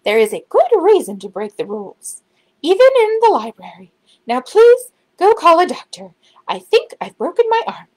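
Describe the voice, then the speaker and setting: A woman's voice: very high-pitched, wildly swooping pitch, moderate pace, voice pushed up, full character voice, low-quality recording. A woman voicing a prim, kindly but firm head librarian in a children's storybook, with exclamations in a raised voice. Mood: firm, composed. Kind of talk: storybook read-aloud character.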